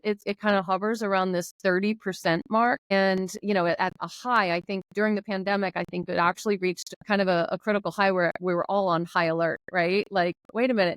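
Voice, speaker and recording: Female guest, mid-pitched, smooth, chatty and lively with quotes, dry close mic. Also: no echo. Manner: conversational, acts out quotes, restarts phrases. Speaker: guest, talent development founder. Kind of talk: casual interview chat.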